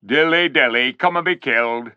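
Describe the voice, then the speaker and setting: A man's voice: on the high side, sing-song, a little slow, pushed and bright, no room sound, voice pushed up, heavily acted. A man voicing a scornful, sneering male chief dwarf in a storybook reading. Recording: dull lo-fi recording.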